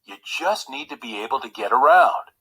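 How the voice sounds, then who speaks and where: An older royal valet from a storybook, played by a man reading aloud. He is a loyal, frank adviser with a husky voice and lively intonation. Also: mid-range voice, normal speed, rough recording. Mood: blunt, urging. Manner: formal and stiff, turning blunt and casual.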